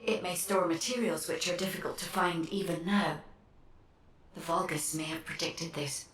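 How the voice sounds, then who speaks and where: A calm female guide from a video game, voiced by an actress. She is precise and methodical, in a slightly low register that echoes as if in a roomy space. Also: moderate pace, recorded from a distance, slight background noise, close to an everyday voice. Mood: calm, explanatory. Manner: methodical, formal.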